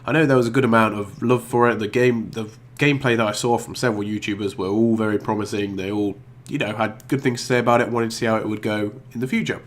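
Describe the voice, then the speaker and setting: A man's voice: on the low side, fairly even intonation, quick pace, hardly any pauses. A man with a blocked nose from a cold, hosting a casual gaming vlog, rambling and hesitant with many ums and restarts. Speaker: YouTube gaming channel host.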